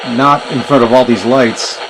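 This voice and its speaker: A man giving a casual, unscripted gadget demonstration, thinking aloud in a low-key, hesitant way. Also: steady tone, normal speed. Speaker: vintage radio reviewer demonstrating gadgets. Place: indoors in a basement. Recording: noisy recording with radio static. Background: radio static and hiss.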